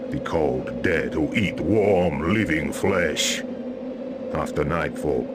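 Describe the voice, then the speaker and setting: A man playing a solemn ice mage and imperial escort in a fantasy game, speaking gravely and formally. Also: a bass voice, slow pace, heavy background noise. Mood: grave, ominous. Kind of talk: scripted fantasy game acting.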